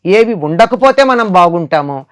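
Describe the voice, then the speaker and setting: A woman's voice: lowish voice, animated, unhurried. An older woman giving a moral discourse in conversation as a spiritual teacher, emphatic and repeating phrases to drive points home.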